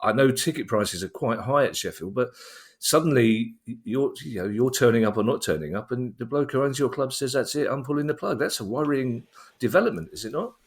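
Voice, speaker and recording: A man's voice: mid-range voice, lively intonation, brisk. A man hosting a podcast in casual chat, with a wry, wordy, self-deprecating manner. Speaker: podcast host.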